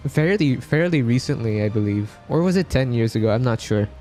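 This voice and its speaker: A man's voice: medium pitch, expressive, brisk, dark tone. A man doing casual live game commentary with slangy, exclamatory reactions. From Philippines. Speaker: live streamer playing a gacha game. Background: game music.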